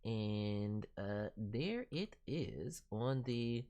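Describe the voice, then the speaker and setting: A woman with a low voice hosts a casual review stream. Her pitch moves around a great deal as she coaches in an animated, encouraging way. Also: very slow. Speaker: streaming host and voice director reviewing demos. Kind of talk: casual livestream critique.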